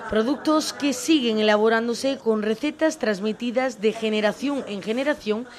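A woman reporter reading a scripted news package in a smooth, measured way. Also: on the high side.

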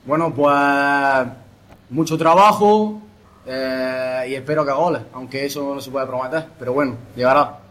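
A young man, a footballer, answering post-match interview questions in a modest, halting way. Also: medium pitch, fairly bright tone. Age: young adult. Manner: halting, modest, pausing to restart.

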